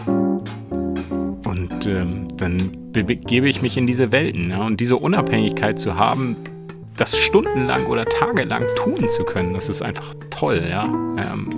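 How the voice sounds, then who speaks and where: A man talking informally and reflectively, halting and letting thoughts trail as he goes. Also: on the low side, lively intonation, vocal fry. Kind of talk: informal reflective interview talk. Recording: prominent plucked guitar music bed. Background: plucked guitar music.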